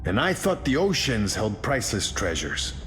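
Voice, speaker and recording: A man's voice: fairly low pitch, huge pitch swings, moderate pace. A man playing a proud, imperious undersea king in a video game, speaking pompously. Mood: haughty. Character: proud regal undersea king, haughty and grand. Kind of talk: video game character voice line. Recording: long reverb with music behind.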